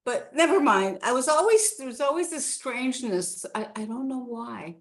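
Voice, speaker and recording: A woman's voice: sits high, expressive, moderate pace. A woman in her sixties from Canada, a guest telling her life story in a rambling, casual chat with many restarts.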